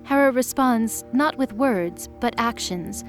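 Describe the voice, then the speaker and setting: A woman's voice: a little high, slow. A woman reading scripted present-tense story narration as the narrator of an audio drama. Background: background music.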